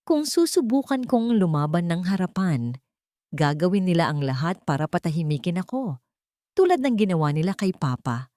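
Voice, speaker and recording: A woman voicing a wronged rich man who speaks calmly and gravely, confiding a secret in a dramatic audiobook. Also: lowish voice, no room sound. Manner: calm, grave, deliberate.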